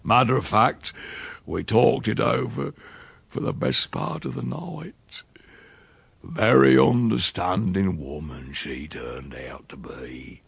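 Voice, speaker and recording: A man voicing a gravelly old rustic uncle. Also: sits low, animated, no echo, heavily acted. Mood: chuckling. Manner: slow, chuckling drawl with dialect grammar. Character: sly old country uncle, boastful rustic yarn-spinner. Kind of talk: storybook reading.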